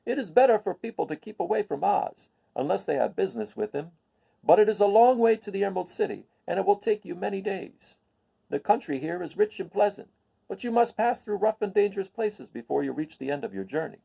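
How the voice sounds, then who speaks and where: A grave storybook fellow, acted by a man, giving solemn counsel in a raised, mellow voice. His cautioning phrases rise and fall widely. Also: high voice, big pitch swings, brisk, creaky, slightly rough, lo-fi sound, pitched above the performer's own voice, heavily acted. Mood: grave warning. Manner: solemn, warning. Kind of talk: children's storybook character voice.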